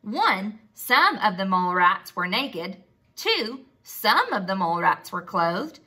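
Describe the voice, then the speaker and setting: A woman reads and teaches for young children in a bright, fairly high voice. She goes slowly with very lively intonation, pausing for simple questions and praise. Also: husky. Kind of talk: expressive storybook read-aloud and lesson.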